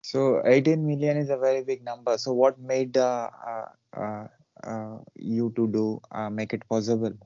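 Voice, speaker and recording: A man's voice: medium pitch, flat delivery, moderate pace, mellow, dry recording, highs cut off. A man hosting a podcast interview, asking questions hesitantly with many fillers. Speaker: podcast host. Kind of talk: casual interview.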